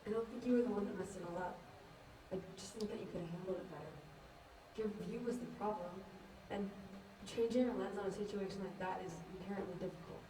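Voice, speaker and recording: A woman's voice: medium pitch, fast talker, lightly acted. A young woman in a student stage play, acting a frank, earnest teenage girl who bluntly reasons with others. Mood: firm. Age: young adult. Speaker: student actor in a one-act play. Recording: echoey, distant mic, clear noise.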